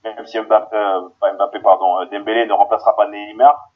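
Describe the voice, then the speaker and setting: A man from Paris, a guest on a football podcast, answering in a halting way with many restarts, heard over a remote call. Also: fairly low pitch, level tone, narrow, tinny audio. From Paris, France.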